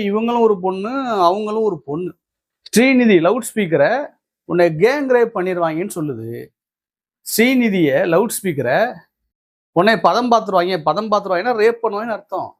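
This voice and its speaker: High-pitched, combative Tamil Nadu male commentator. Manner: combative, indignant, repeats phrases for emphasis. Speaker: political commentator and host. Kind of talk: opinionated political commentary to viewers.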